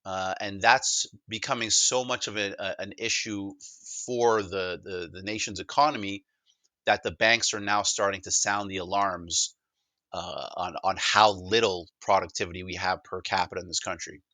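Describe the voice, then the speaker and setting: A man with a Canadian accent and a low, forceful voice gives an informal demo pitch. He talks discursively, stumbling and doubling back on words. The sound is dry and close. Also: steady tone, moderate pace, no echo. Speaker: presenter of a technology demo. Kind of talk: casual sales presentation, conversational.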